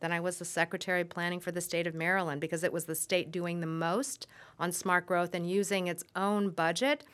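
Low-pitched American woman, measured explainer. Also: little pitch movement, fairly bright tone. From suburbs of Saint Louis, Missouri, USA. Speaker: urban planning expert, guest. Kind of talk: thoughtful spoken interview answers.

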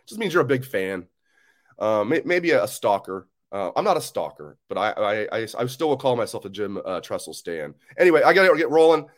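A man's voice: medium pitch, brisk. A man with a scratchy voice, hosting his own sports show, talking in a loose, opinionated, rambling way. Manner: animated, opinionated, rambling fan talk. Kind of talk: casual solo sports talk.

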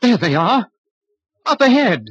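Husky, timid small-town follower. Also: male voice, very high voice, very animated, measured pace, fairly bright tone, no room sound, exaggerated voice. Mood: frightened. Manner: nervous, hesitant, folksy. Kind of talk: old-time radio horror acting. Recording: dull vintage radio recording.

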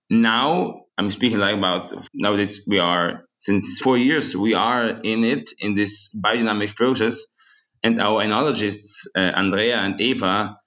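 A young man from Switzerland and South Tyrol, English not his first language, a winery estate manager speaking haltingly and searching for words as an interview guest. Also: on the low side, fairly bright tone. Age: young adult.

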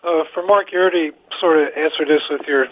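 A man's voice: on the high side, fairly even intonation, quick pace, slight background noise. A man working as a newspaper sports reporter, asking an informal question on a press call. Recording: band-limited conference phone line.

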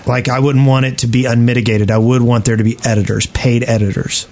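A man's voice: medium pitch, fairly even intonation, fast talker, vocal fry, darkish tone. A man hosting a podcast, speaking in a casual, opinionated way with the group. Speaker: podcast host.

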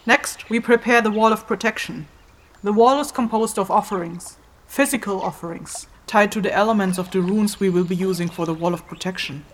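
A woman playing an assured, stern ritual caster in a fantasy audio drama, measured and teacherly. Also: medium pitch, lightly acted. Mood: instructive, calm.

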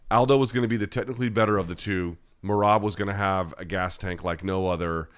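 A man's voice: deep voice, steady tone, fast talker. An American man hosting a live-chat podcast, answering listener questions in a blunt, conversational, opinionated way. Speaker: podcast host.

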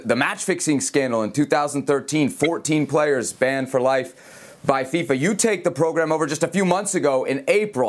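Brisk man hosting a soccer talk show. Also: a little high. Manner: brisk, skeptical, storytelling. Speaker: podcast host.

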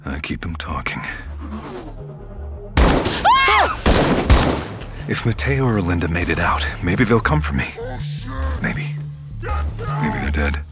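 A man's voice: low-pitched, expressive, a little slow. An actor playing a terrified, wired captive who narrates his ordeal in clipped inner monologue for an audio drama. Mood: tense, calculating. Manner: clipped present-tense inner monologue, swearing. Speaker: narrator and lead character. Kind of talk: first-person scripted audio drama narration. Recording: loud music bed under the voice. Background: dramatic music.

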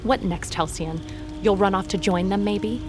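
A woman's voice: mid-range voice, normal speed, over music, natural voice. A woman playing a blunt, wry, hardened fugitive in a fantasy audio drama. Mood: wry, wary.

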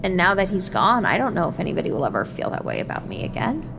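An actress playing a grieving, lonely woman in an intimate audio drama, speaking in a halting, confessional way. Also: lowish voice, sing-song, creaky, noisy background. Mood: wistful, aching.

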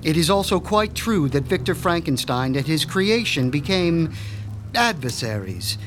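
An actor playing a proud, formal mad-scientist doctor in a radio drama, speaking with precise, pompous diction. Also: male voice, a little high, sing-song, measured pace, a bit bright, slight background noise. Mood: measured. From Switzerland. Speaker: mob doctor.

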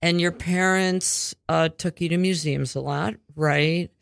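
A woman's voice: lowish voice, fairly even intonation, a little slow, vocal fry, no room sound, clean studio sound. A woman hosting a casual community radio interview, rambling and chatty with offhand swearing. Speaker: radio show host.

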